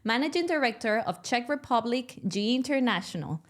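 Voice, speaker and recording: A Spanish-speaking woman from Mexico with a Mexican accent, hosting a podcast interview in English in a lively, casual way, laughing often and restarting sentences. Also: on the high side, brisk. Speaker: podcast host.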